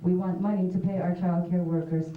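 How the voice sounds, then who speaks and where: A woman's voice: fairly low pitch, little pitch movement, fairly quick, noisy background. A woman giving an earnest, direct address at a stage microphone in a theatre. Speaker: festival audience speaker. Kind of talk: impassioned public address. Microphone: stage microphone heard from a distance. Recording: distant, muffled echoey tape recording.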